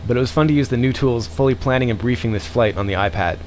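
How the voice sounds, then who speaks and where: A man's voice: mid-range voice, quick pace, a little mellow, slight background noise, poor audio. A man narrating a vlog in voice-over as its host.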